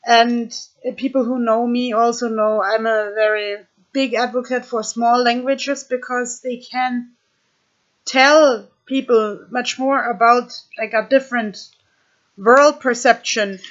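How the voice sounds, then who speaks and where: Slow-speaking German woman vlogging. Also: a little high, fairly even intonation, a bit bright. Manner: slow, thoughtful, halting with many fillers. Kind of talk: casual talk to camera. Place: small room indoors. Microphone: webcam at desk. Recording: dull lo-fi webcam audio.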